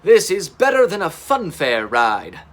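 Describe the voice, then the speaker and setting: A man's voice: fairly high pitch, wildly swooping pitch, a little slow, voice pushed up. A man voicing an eager, plucky male dinosaur captain in a children's story, upbeat and emphatic. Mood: excited.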